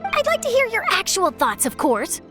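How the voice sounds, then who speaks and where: A woman's voice: very high voice, huge pitch swings, moderate pace, over music, heavily acted. A woman playing an eager young newspaper reporter in an anime video game, articulate, polite and inquisitive. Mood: eager, professional.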